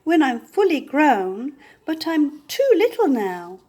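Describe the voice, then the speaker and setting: A woman's voice: sits high, big pitch swings, takes it slowly, warm tone, voice pushed up, heavily acted. A woman voicing a sad, shy young male creature in a children's storybook. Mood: wistful.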